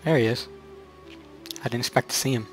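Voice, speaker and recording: Male gamer, mid-pitched voice, casual commentary, faint game music behind. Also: a little fast. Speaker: gaming channel player. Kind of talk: casual play-along commentary.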